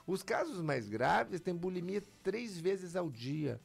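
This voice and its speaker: A man's voice: high-pitched, takes it slowly, creaky. A man, a physician guest explaining medical matters in an interview, emphatic and acting out patients' words. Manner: lively, emphatic, acts out patients' lines.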